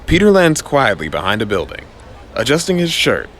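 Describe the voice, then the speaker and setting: A man's voice: medium pitch, huge pitch swings, moderate pace, a bit bright, slight background noise. A man narrating a scripted audio comic story in a dramatic storytelling style.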